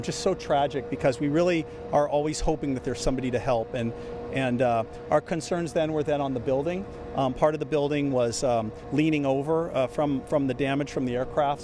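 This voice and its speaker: A man from emergency medical services giving a hesitant, halting briefing. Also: medium pitch. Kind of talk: press briefing to reporters.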